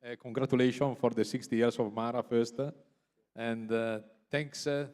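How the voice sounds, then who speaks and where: Halting Italian man in a reverberant hall. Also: medium pitch, flat delivery, darkish tone, a little hiss or hum. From Italy. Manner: halting, searching for words. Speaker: industry representative, forum panelist. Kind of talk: panel discussion answer. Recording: long reverb of a large hall.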